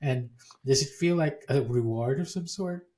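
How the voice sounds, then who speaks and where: This is a man hosting an interview, with a voice at an ordinary middle pitch. He mostly answers the guest with short, steady affirmations. Now and then he asks a question in a casual, easygoing way. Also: measured pace. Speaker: interview host.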